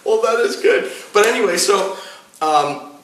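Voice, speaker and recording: A man's voice: sits high, animated, measured pace, fairly bright tone. A man who is a pastor, answering interview questions earnestly, with a rambling, filler-heavy way of talking in his living room. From United States. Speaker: pastor and political candidate. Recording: echoey room, mic at a distance, faint background noise.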